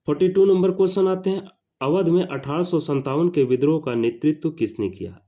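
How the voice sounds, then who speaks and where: A man with a mellow, dark voice teaches exam questions and answers. He sits at a middling pitch and repeats each point for emphasis. The recording is slightly dull and lo-fi.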